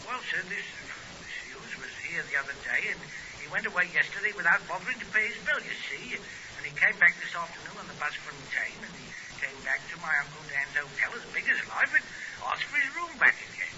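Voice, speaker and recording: An actor playing a flustered, deferential provincial police sergeant, hesitant and over-polite, in a 1950s radio drama. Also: male voice, on the high side, expressive, brisk, natural voice. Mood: sheepish. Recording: muffled, hissy 1950s radio recording.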